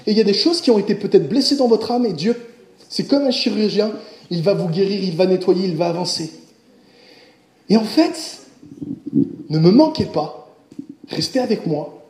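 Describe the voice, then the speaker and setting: A man preaching a sermon, speaking directly to his congregation in an animated way. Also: sits high, normal speed, long pauses, roomy sound. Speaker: pastor.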